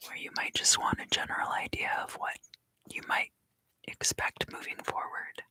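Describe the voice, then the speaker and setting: A woman whispering a soothing ASMR tarot reading in a breathy, mostly unvoiced voice, taking her time. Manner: soothing, unhurried.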